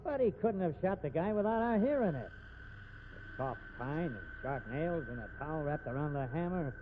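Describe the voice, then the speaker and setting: Man playing a high-voiced hard-boiled investigator. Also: animated, normal speed, lightly acted. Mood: skeptical. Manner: blunt, slangy, commanding. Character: gruff, blunt, hard-boiled armed investigator. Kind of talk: 1950s radio acting. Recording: tinny band-limited old radio recording, hissy.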